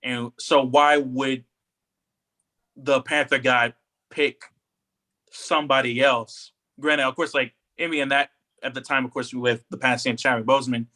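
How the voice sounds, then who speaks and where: A man co-hosting a podcast in casual, slangy, opinionated and animated chat. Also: mid-range voice, a little slow. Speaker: podcast co-host. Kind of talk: casual pop culture chat.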